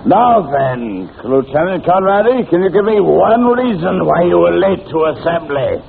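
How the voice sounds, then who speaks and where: An actor playing a blustering, sarcastic army captain who barks pompously in an old-time radio comedy. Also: male voice, sits high, animated, moderate pace, creaky, faint music behind. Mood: scolding. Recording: noisy, tinny old radio recording.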